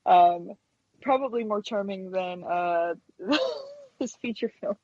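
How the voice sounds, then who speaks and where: A woman's voice: medium pitch, slow, darkish tone, no room sound, highs cut off. A woman in her thirties chatting casually and enthusiastically as an interview guest. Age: 30s. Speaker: guest, stop-motion animator.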